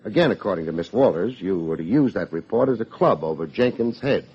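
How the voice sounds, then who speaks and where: An American actor playing a wry, hard-boiled private detective in an old radio drama, with dry, needling delivery. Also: male voice, lowish voice, lively intonation, a little fast, slightly rough. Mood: sardonic. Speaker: private investigator and narrator. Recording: dull vintage radio recording.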